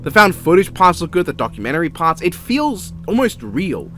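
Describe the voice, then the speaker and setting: A man's voice: fairly high pitch, very animated, normal speed, fairly bright tone, faint music behind. An Australian man giving a casual, opinionated and sweary video-essay review as a voice-over.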